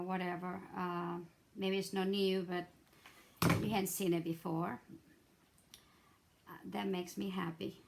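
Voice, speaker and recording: A hoarse middle-aged woman, chatting her way through a craft tutorial with many fillers and restarts. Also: lowish voice, a little hiss or hum. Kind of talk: casual step-by-step craft demonstration.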